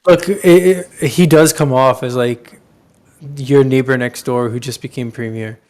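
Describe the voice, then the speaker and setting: A man's voice: medium pitch, fairly even intonation, a little slow, a little mellow. A man co-hosting a podcast, talking politics casually and emphatically with plenty of slang. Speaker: podcast co-host.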